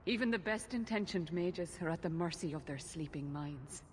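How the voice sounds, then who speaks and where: A worried elven mother, voiced by a woman speaks in a game cutscene, earnest and measured. Her voice sits a little low, with faint noise underneath. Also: expressive, natural voice. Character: anxious elven mother pleading for her son.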